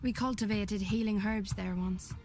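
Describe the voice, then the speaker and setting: A woman's voice: mid-range voice, fairly even intonation, a little mellow, soft background music, close to an everyday voice. A woman playing a guarded pagan priestess in a fantasy game, speaking formally and solemnly. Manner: formal, measured. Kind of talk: fantasy video game acting.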